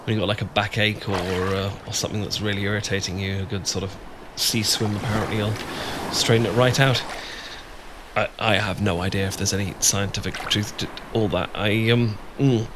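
A man's voice: on the low side, steady tone, fairly quick, natural voice. A man with a British accent playing a shy, adorkable, flustered young man in love, stammering and hesitant in an ASMR boyfriend roleplay. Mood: shy, awkward. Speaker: audio roleplay performer. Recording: clear background ambience noise.

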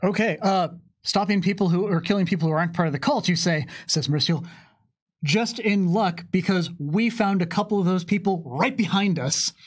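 A man's voice: high voice, moderate pace, breathy edge. A man in his thirties chatting casually and playfully as a player in a tabletop roleplaying game. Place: indoor streaming studio with curtain backdrop. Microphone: desk microphone.

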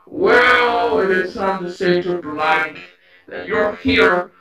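A man playing a sinister animatronic cat in a horror game, high and creaky. The menacing words come very slowly, drenched in echo. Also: bright, forceful tone, exaggerated voice. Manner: slow, menacing. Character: sinister withered animatronic cat threatening death. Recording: heavy echo, faint music behind.

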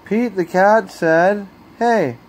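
A man reading a children's bedtime story aloud as a storyteller, with expressive, deliberate phrasing, recorded on a handheld phone at home. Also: high-pitched. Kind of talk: bedtime picture-book read-aloud. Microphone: handheld phone camera.

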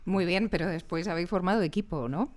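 A woman's voice: fairly low pitch, quick pace, darkish tone. A woman with a Castilian Spanish accent hosting an interview in an enthusiastic style, in a radio studio.

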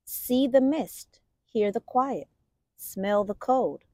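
Expressive, high-voiced woman reading to children. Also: no echo. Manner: expressive, deliberate storytelling with stressed sound words. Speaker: picture book reader for children. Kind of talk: animated children's read-aloud.

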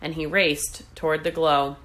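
A woman reading a children's storybook aloud in an expressive, deliberate way. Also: low voice. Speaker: read-aloud host.

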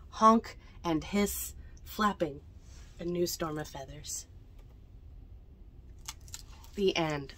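A young woman reads picture books aloud to children in an unhurried storytelling manner, adding chatty asides about the pictures. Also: fairly low pitch. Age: young adult. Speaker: library storytime reader. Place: indoors among bookshelves in a small library bookmobile.

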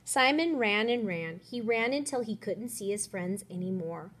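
A woman who is a schoolteacher, reading a children's picture book aloud with expressive storytelling. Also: mid-range voice, normal speed. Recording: noisy room ambience.

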